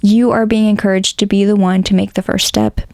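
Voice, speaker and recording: A woman narrates a tarot reading in a loose, rambling way, full of ums and likes. Her voice is hoarse and gravelly, with a mellow tone. The recording is close and dry. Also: medium pitch, little pitch movement, a little fast, dry recording. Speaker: tarot reader.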